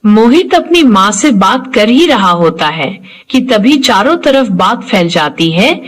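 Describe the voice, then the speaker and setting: A woman's voice: medium pitch, sing-song, measured pace, breathy edge. A woman narrator reading a scripted horror story in a storytelling style.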